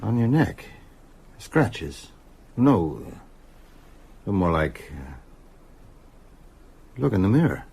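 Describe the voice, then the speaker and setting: Haunted man, radio drama, low husky voice, swinging intonation, urgent, insistent. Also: very animated, slow pace, pauses often, dark tone, heavily acted. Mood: alarmed, grave. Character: frightened older male teacher, insistent, haunted, wryly self-mocking. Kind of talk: audio drama acting.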